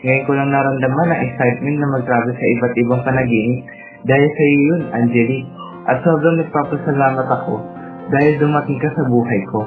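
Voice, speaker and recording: A man's voice: mid-range voice, steady tone, a little fast, natural voice. A man with a Filipino accent playing a tender, affectionate young companion from a dream in a romantic radio drama. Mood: tender, grateful. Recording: tinny band-limited audio, music bed. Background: clear background music.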